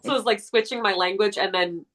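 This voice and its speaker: A woman with a fairly deep voice, a guest in a casual interview, chatty and giggly. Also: fast, fairly bright tone, low-quality recording. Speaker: actress guest.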